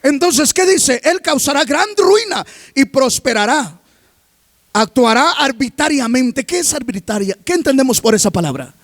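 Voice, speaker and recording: A man preaching a sermon as pastor, reading scripture aloud and constantly asking the congregation questions, rising to emphatic shouts. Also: extremely high pitch, expressive, normal speed, dry recording, studio quality. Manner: reads scripture aloud between remarks.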